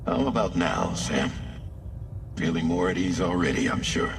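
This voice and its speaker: An actor playing a suave, knowing intermediary in a game cutscene, speaking in a formal, measured, explanatory way. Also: male voice, sits low, animated, noisy background. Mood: knowing, composed. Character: suave, knowing male intermediary briefing a courier. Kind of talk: scripted game cutscene dialogue. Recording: distant, with music behind.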